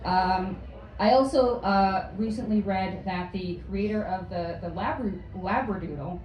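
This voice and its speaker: Awkward deadpan woman comic in an echoey club. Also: medium pitch, unhurried, highs cut off. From Florida. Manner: deadpan, hesitant, many ums and likes. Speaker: stand-up comedian. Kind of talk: stand-up set. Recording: distant, echoey club with noise.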